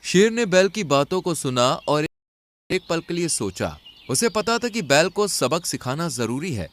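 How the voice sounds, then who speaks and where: A man's voice: fairly high pitch, very animated, unhurried, fairly bright tone. A man narrating a scripted children's animal story.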